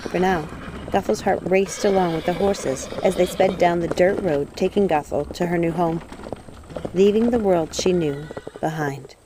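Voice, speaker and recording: A woman reading a storybook aloud as narrator, in an expressive, dramatic way. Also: on the low side, normal speed, background noise. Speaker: audiobook narrator.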